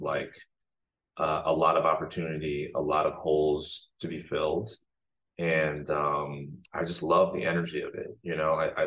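A man from the United States, a filmmaker guest in a casual interview, talking in a reflective way with many pauses. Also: sits low, fairly bright tone, rough recording.

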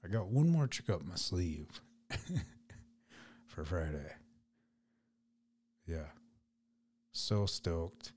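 A man hosting a podcast solo, with a raspy voice, chatting loosely and rambling in an unedited way. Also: low voice, very animated, very slow, long pauses, a little mellow, a little hiss or hum. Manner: rambling, loose, laid-back. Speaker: podcast host. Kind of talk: casual solo podcast chat.

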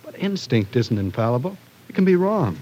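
An actor playing a stubborn, distrustful small-town brother in a 1940s radio drama, blunt and scoffing. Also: male voice, medium pitch, big pitch swings, normal speed, mellow, slight background noise, close to an everyday voice, low-quality recording. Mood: insistent warning.

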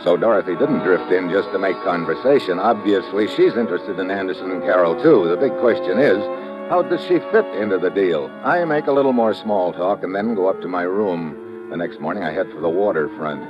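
Low-voiced wry American agent. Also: male voice, brisk. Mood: wry, narrating. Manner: deadpan, wisecracking. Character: wry, tough two-fisted American government agent. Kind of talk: hardboiled 1950s radio drama narration. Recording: dull old radio recording, music behind.

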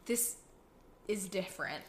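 A woman co-hosting a podcast, chatting in a relaxed, musing way and hedging her points. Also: medium pitch, a little slow, a little hiss or hum. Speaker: podcast co-host. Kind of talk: casual chat recapping a sitcom episode. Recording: echoey room.

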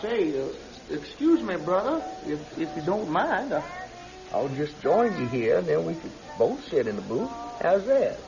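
Creaky voice, swooping pitch, hesitant folksy stranger, polite, radio drama. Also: male voice, big pitch swings, unhurried, heavily acted. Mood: ingratiating. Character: polite, folksy stranger inviting himself along. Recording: dull radio drama recording.

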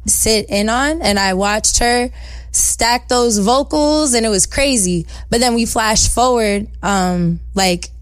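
A young woman singer-songwriter with a soft voice, chatting in an upbeat, slangy, bubbly way as an interview guest. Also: fairly high pitch, takes it slowly, dry recording. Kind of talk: casual interview chat.

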